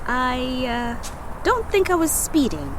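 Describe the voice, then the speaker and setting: Woman playing a nervous, high-voiced driver. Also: wildly swooping pitch, slow pace, slight background noise, full character voice. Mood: nervous, apologetic. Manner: hesitant, polite, deferential. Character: nervous, apologetic woman driver pulled over by police. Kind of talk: audio drama acting.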